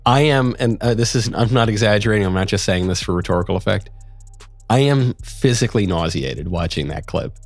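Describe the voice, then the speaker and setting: A man speaking emphatically and indignantly, his pitch rising and falling widely. The sound is dry and close, with faint music. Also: expressive, brisk, no echo.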